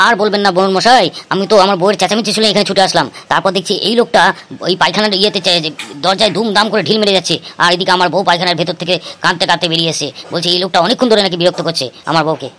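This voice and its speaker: A man's voice: high-pitched, fairly even intonation, racing, sharp tone, a little hiss or hum. A man voicing a blustering, scheming village husband in a homemade comedy cartoon. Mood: indignant. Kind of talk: amateur comedy cartoon dub.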